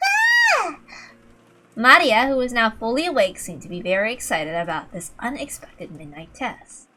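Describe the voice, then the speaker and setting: Bright-voiced woman narrating a story. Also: a little high, lively intonation, moderate pace, poor audio. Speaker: reader of a visual novel playthrough. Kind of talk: scripted narration. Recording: faint music behind the voice. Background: faint music.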